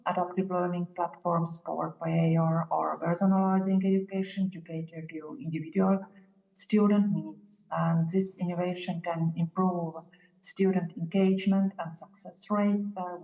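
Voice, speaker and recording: A university staff woman gives a measured statement with frequent hesitant fillers. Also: on the low side, mellow. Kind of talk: read statement. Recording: dull, reverberant recording.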